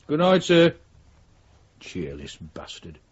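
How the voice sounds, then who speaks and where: Husky, wry British policeman. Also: a little high, wildly swooping pitch, slow pace, lo-fi sound, exaggerated voice. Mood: deferential, wry. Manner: wry, teasing. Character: dry, teasing policeman, deferential to his boss. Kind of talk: naturalistic radio drama acting.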